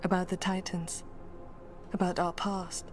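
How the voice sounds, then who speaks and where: A woman voicing a formal, measured dwarf historian, solemn and respectful, in a video game. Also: fairly low pitch, flat delivery, soft background music, natural voice. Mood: reverent.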